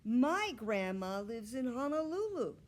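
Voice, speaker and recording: A woman voicing eager young second-grade schoolchildren, loudly and proudly declaiming their answers. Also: fairly high pitch, very animated, measured pace, pitched above the performer's own voice. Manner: loud, declaiming short answers.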